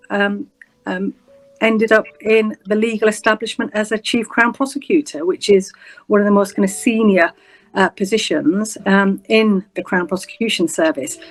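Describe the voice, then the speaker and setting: A woman in her fifties with a Yorkshire accent, talking through her career as an interview guest, measured and reflective with frequent pauses. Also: mid-range voice, poor audio. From England. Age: 50s. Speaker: guest, senior prosecutor. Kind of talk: interview storytelling.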